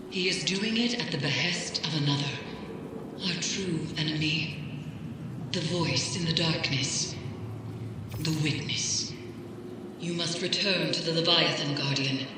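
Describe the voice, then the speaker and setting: Ominous mystic woman strategist, portentous, solemn, deliberate, video game monologue, very echoey long reverb, music behind. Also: deep voice. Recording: cavernous echo and reverb over loud music.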